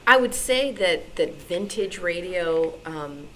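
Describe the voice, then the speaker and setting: A woman's voice: on the low side, animated, slow pace, poor audio. A woman in an informal panel discussion, speaking tentatively as she thinks aloud. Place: club meeting room. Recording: noticeable background noise.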